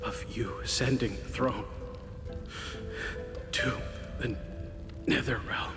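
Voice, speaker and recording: Dying loyal soldier in a game, exultant, slow dramatic pauses, big reverb, loud music. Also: male voice, mid-range voice, steady tone, creaky. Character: fallen loyal warrior, exultant facing death.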